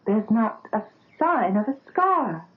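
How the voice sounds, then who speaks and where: An actress playing a gentle young doctor's daughter in a 1970s radio drama. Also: female voice, on the high side, sing-song, takes it slowly. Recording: narrow phone-like old radio broadcast.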